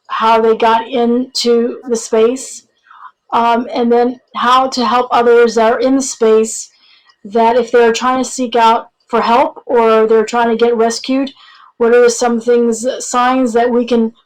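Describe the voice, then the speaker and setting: Female podcast host; higher pitch, even and flat delivery, informal and encouraging. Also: low-quality recording. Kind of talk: casual interview intro.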